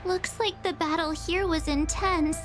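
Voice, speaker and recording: A very high-pitched perky teenage girl. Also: steady tone, measured pace. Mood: surprised, questioning. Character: perky, informal teenage girl adventurer, openly expressive. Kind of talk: anime-style video game acting. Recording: music behind the voice. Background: game music.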